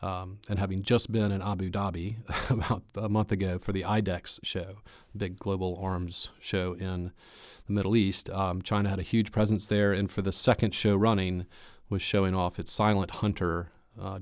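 Deep-voiced man giving expert analysis. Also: no echo, clean studio sound. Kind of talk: conversational expert analysis in an interview.

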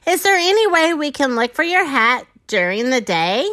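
A woman's voice: high voice, big pitch swings, moderate pace, fairly bright tone. A woman voicing a polite young storybook girl who asks courteous questions in rhyme. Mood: uneasy.

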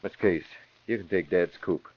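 A man's voice: sits low, moderate pace. An actor playing a determined young crusading man with a secret identity, giving decisive orders in 1940s radio drama style. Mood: grave, decisive. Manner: decisive, gives crisp orders. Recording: dull vintage radio recording.

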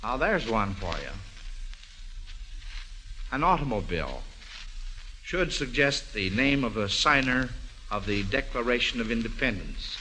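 A man's voice: medium pitch, very animated, measured pace, pauses often, sharp tone. A man hosting an old-time radio quiz for children, speaking in an encouraging, somewhat hesitant way. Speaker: quiz show host. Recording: noisy vintage radio broadcast.

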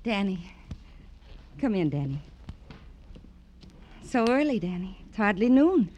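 Moody radio noir femme fatale. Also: female voice, medium pitch, very animated, takes it slowly, warm tone, slight background noise. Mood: uneasy. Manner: intimate, fragmented, questioning. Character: moody, seductive femme fatale, lonely and probing. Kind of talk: 1950s radio noir acting.

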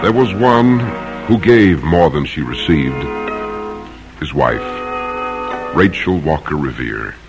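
An actor serving as a formal, courteous narrator in an old radio drama, speaking deliberately. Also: male voice, a bass voice, expressive, vocal fry, exaggerated voice. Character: formal, courteous male narrator questioning people of history. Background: music.

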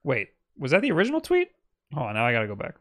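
A man's voice: on the high side, huge pitch swings, rapid-fire, a bit bright. A young man co-hosting a casual podcast, rambling a little as he reads listener comments aloud. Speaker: podcast co-host.

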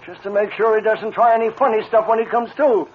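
A muffled vintage radio western with faint noise carries a man as a feisty old prospector. His voice is extremely high, and he talks in a cranky, boastful way. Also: fairly even intonation, fast talker, lightly acted. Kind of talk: 1940s-50s radio western acting.

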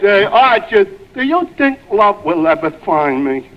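Dopey high-voiced innocent sidekick. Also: male voice, sing-song, unhurried. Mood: naive, wistful. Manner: dopey, naive, starts with a stray "duh"-like word. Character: Finnegan, dim-witted, innocent, lovelorn male sidekick. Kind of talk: 1940s radio comedy acting. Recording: muffled, lightly noisy old radio recording.

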